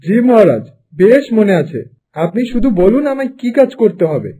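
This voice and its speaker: A man's voice: high-pitched, big pitch swings, moderate pace, dark tone. A man voicing an obedient, determined peasant farmer in a cartoon, earnest and respectful. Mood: dutiful, determined. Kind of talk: Bengali cartoon dubbing.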